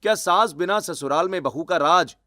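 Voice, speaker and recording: A man's voice: sits high, normal speed, bright, forceful tone, no echo, clean studio sound. A man reading scripted, dramatic story narration.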